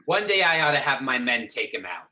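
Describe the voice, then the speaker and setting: A man playing a gruff, disgusted raid team commander in amateur readers theater. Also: mid-range voice, sing-song, brisk, sharp tone. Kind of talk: amateur readers theater over a remote call. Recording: roomy echo on remote call.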